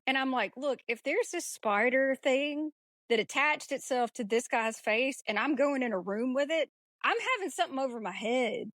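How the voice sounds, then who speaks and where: A woman's voice: high voice, moderate pace, a bit bright, no echo, clean studio sound. A woman co-hosting a podcast, discussing a film casually in a blunt, slangy, informal way. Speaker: podcast co-host.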